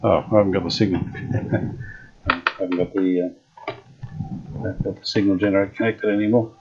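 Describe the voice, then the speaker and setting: Lower-voiced man, casual hands-on workshop narrator who thinks aloud, dull lo-fi sound. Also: steady tone, husky. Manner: thinks aloud while working, chatty and unhurried. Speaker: vintage radio restorer.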